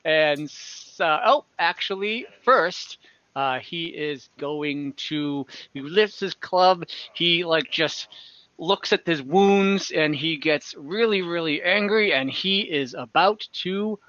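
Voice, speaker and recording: A man's voice: fairly high pitch, slow, bright, forceful tone, dry recording, lo-fi sound. A young man game master casually narrating an online tabletop game over a video call. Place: indoor room at home, on a video call.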